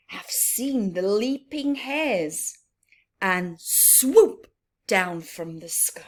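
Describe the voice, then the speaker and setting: Female children's story reader. Higher-pitched, highly varied intonation, very unhurried, teacherly and sing-song, engaging small listeners. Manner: sing-song, expressive, prompting listeners with questions. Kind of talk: interactive picture book read-aloud for young children.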